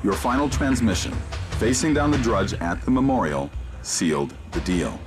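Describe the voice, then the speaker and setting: An actor playing a mysterious male handler who guides an agent by radio, calm, commanding and instructive. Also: on the low side, moderate pace. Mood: steady guidance. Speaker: mission guide in a video game. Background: game score music.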